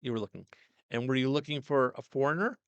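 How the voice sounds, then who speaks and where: Loud, gravelly older man hosting an interview. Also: medium pitch, fast, no echo, dull audio. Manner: loud, coaxing, chatty. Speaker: host. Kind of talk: casual interview. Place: small home studio. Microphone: directional studio mic.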